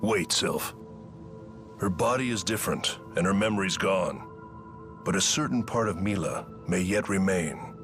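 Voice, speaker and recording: A man voicing a wise, stoic elemental spirit in a video game, grave, formal and measured. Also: low voice, expressive, a bit bright, soft background music. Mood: solemn, kind.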